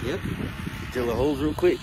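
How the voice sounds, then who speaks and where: A man's voice: mid-range voice, normal speed. A man casually narrating an ice fishing vlog outdoors, filmed on a phone held at arm's length. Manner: laid-back, chatty, excited when fish bite. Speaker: outdoor vlogger. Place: outdoors on a frozen lake, windy. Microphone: handheld phone camera at arm's length. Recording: loud wind and outdoor noise.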